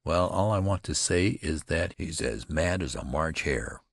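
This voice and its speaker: A man voicing a brisk frontier housewife with a tart, matter-of-fact manner. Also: rumbling low, lively intonation, breathy edge, no room sound, heavily acted.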